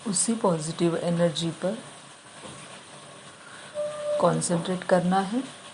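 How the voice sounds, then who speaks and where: A woman leading a guided meditation, giving instructions in short, measured phrases with long pauses between them. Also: lowish voice, background noise.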